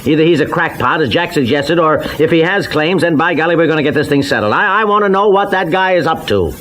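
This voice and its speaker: A male actor plays a blustering family man in vintage radio soap style. His voice sits fairly high, irritable and emphatic. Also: animated, fast talker, lightly acted. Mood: exasperated. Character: exasperated, blustering husband and father. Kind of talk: old radio serial acting.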